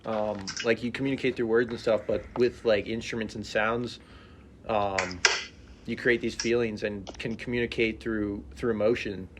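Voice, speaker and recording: A man co-hosting a podcast, talking in an even, reflective way with many fillers. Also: lowish voice, normal speed, heavy background noise. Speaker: podcast co-host. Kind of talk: casual podcast chat.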